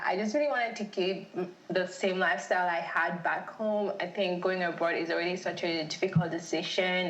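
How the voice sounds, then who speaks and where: A woman's voice: fairly low pitch, echoey room, poor audio. A young woman from Cameroon whose first language is French, chatting easily as a podcast guest and laughing often. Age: young adult. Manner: chatty, laughs easily, restarts her phrases. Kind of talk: casual interview chat.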